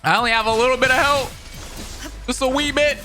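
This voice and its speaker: A man's voice: extremely high pitch, steady tone, a little fast. A man reacting casually to a game as he plays it live, in short, repeated exclamations. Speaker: streamer playing and reacting to a game. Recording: voice over loud game music. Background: game music and character voices.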